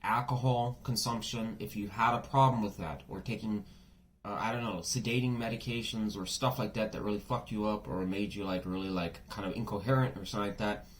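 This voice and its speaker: A man giving a casual tarot reading, chatty and blunt, swearing freely and full of asides, his voice a bit worn and hoarse as if under the weather. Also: on the low side. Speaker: tarot reader.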